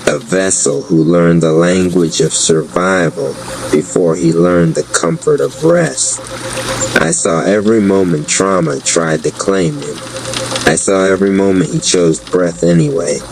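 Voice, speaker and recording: A man narrating a scripted dark fantasy spoken-word piece in a solemn, grandiose manner. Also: low-pitched, unhurried, background noise.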